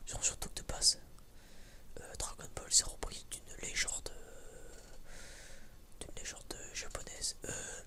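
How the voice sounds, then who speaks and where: A young man giving a casual ASMR chat in a whisper, rambling and hesitant, in informal, slangy words. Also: takes it slowly. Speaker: ASMR creator.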